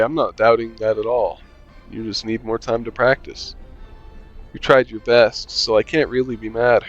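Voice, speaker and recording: A young man reads a visual novel aloud in a relaxed, joking way, humming and muttering between lines. His voice is mid-pitched and the recording sounds a little dull. Soft game music sits in the background. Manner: casual, joking asides, hums to himself. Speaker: gaming channel host.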